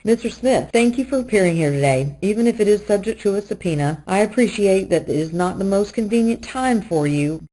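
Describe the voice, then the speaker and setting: Fast-talking, mellow woman speaking formally. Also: mid-range voice, animated, poor audio. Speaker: questioner in a deposition recording.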